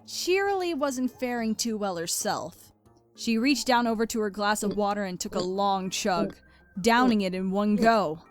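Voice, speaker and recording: A woman's voice: medium pitch, animated, moderate pace, soft background music. A woman reading scripted story narration.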